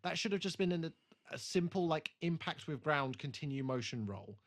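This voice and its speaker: A man's voice: a little high, animated, fairly quick. A man co-hosting a podcast, chatting casually and critiquing bluntly with plenty of swearing. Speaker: podcast co-host. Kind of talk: casual chat, TV episode review.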